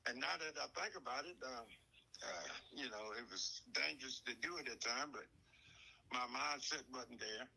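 A man's voice: medium pitch, animated, moderate pace, creaky, pushed and bright, breathy edge, rough recording. A man from North Carolina telling a personal story in a folksy, conversational way, acting out the dialogue. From North Carolina, USA. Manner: folksy, acts out quoted dialogue.

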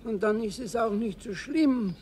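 Kindly old Bavarian caretaker, noisy background. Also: male voice, very high-pitched, measured pace, a little mellow. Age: older. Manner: good-natured, repeating himself. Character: kindly, gruffly teasing old male caretaker. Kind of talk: children's radio play acting.

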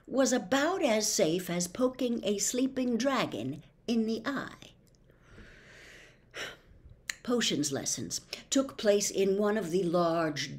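A woman in her sixties reading a children's novel aloud with theatrical, expressive, deliberate phrasing, recorded at home. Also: fairly low pitch. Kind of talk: dramatic audiobook-style narration of a children's novel. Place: small bedroom at home.

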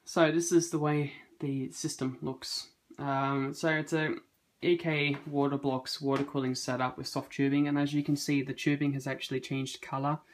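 A man in his thirties talks through a computer build in a casual, hesitant way, with frequent ums and uhs as he goes. His voice sits in an ordinary middle pitch. It is captured on a handheld phone camera in a room at home. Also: level tone, moderate pace. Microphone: phone camera held in hand.

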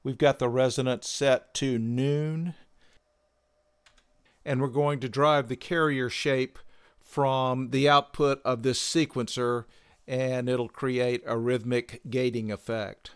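An older man giving a measured, methodical instructional demonstration as a tutorial presenter. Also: medium pitch, steady tone, clean tone, no echo, studio quality.

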